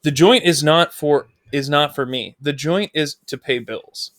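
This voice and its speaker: A man's voice: on the high side, measured pace, a bit bright, no room sound, studio quality. A married man co-hosting a casual talk show, making his point in an earnest way and steering the talk back to it. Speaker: podcast co-host. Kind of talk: casual chat.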